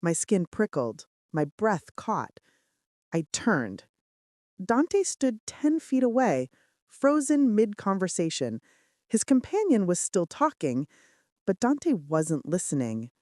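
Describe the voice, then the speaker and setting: A woman's voice: medium pitch, wildly swooping pitch, slow, darkish tone, no echo, studio quality. A woman reading scripted first-person romance fiction as an audiobook narrator, with dramatic, suspenseful delivery.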